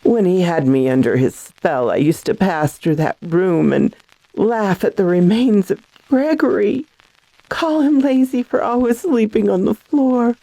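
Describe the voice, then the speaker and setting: A woman playing a haunted, hesitant young heiress in a radio horror drama, speaking in a halting, dramatic way. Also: medium pitch, lively intonation, gravelly, mellow, no echo, poor audio. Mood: haunted, ashamed.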